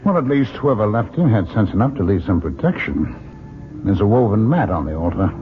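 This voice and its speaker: An actor playing a practical, doubting husband and explorer in an old-time radio drama. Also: male voice, lowish voice, very animated, brisk, over music. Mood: puzzled, uneasy. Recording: phone-like old radio recording, faint noise.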